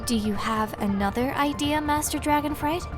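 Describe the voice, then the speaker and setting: A man voicing a stern, honorable female ninja master in a fantasy story, with a firm, sharp, admonishing manner. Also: very high-pitched, normal speed, over music, pitched above the performer's own voice.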